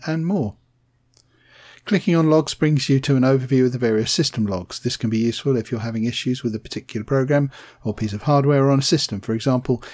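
A man reading a scripted software tutorial voice-over, plain and steady, spelling out commands slowly. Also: mid-range voice. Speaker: tech tutorial narrator.

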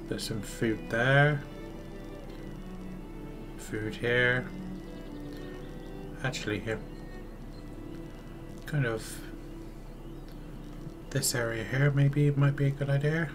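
A man's voice: mid-range voice, steady tone, drawn-out words, long pauses. A man with a sore, slightly scratchy throat giving casual gameplay commentary, thinking aloud without hurry. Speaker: gaming video host. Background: music.

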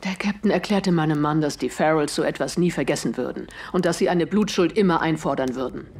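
A husky, grieving widow. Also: female voice, low-pitched, fairly quick, darkish tone. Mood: sorrowful, earnest. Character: grieving widow of a murdered man, earnest and composed. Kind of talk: German audio drama acting.

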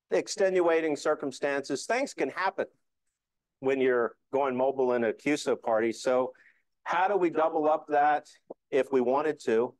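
A man's voice: on the high side, no room sound, low-quality recording. A middle-aged man giving an informal club presentation, explaining things in a conversational way. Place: indoor meeting room.

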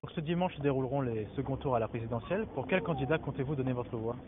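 A young interviewer asks his questions quickly and politely on a city street. His voice is thin and phone-like, with clear traffic noise in the background. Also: mid-range voice. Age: young adult. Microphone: holding a handheld mic.

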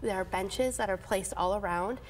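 A woman's voice: mid-range voice, normal speed, slight background noise. Chatty woman airport manager, studio interview. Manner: enthusiastic, chatty, frequent fillers and restarts. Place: TV news studio. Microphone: lapel.

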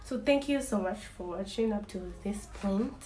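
A young woman in her twenties chats candidly to camera, wry and a little rambling, often restarting her sentences. Her voice sits at an ordinary middle pitch. The small room is echoey with a faint hiss underneath. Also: normal speed, mellow. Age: 20s. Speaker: vlogger. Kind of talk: casual talk to camera.

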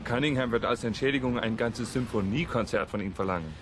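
A man's voice: mid-range voice, fairly quick, over music, close to an everyday voice. A man acting a flippant, mocking young student in a radio drama, with a wry, joking manner. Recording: outdoor traffic ambience behind voice.

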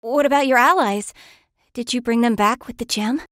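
A woman's voice: a little high, normal speed, a bit bright, no echo, studio quality, natural voice. An actress playing an inquisitive female adventurer in a fantasy video game. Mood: curious.

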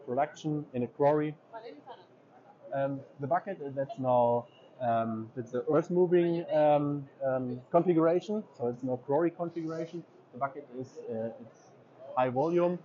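A man's voice: medium pitch, warm tone. Man touring a trade fair booth. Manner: hesitant, many ums and uhs. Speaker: equipment dealer representative. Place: large indoor exhibition hall. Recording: slightly dull audio, faint hall noise.

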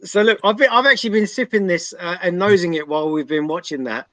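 A man's voice: high voice, quick pace, fairly bright tone, no echo. A man in his thirties hosting an online whiskey tasting, asking questions hesitantly with repeated words over a video call. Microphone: laptop webcam on video call.

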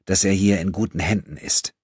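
A man voicing a conciliatory woman in an audiobook, gentle in manner yet firm. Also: low-pitched, steady tone, brisk, slightly rough, no room sound, studio quality, voice pushed up. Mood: soothing. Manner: soft but firm, conciliatory. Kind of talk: audiobook character acting.

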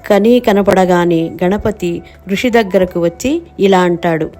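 A woman's voice: lowish voice, unhurried, warm tone, faint music behind. A woman giving scripted narration of a children's story.

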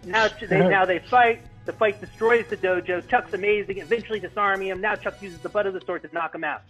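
A man's voice: high voice, fairly even intonation, fast talker, fairly bright tone, soft background music, low-quality recording. A man narrating stage directions at a comedy table read, informal, with stumbles and ad-libs.

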